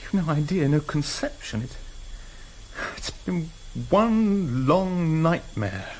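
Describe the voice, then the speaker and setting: Clipped, hunted husband, noisy recording. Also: male voice, fairly high pitch, big pitch swings, a little mellow. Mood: despairing. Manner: clipped, halting, defensive. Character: hunted, guilt-ridden husband living a double life. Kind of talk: radio drama acting. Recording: noisy vintage radio recording.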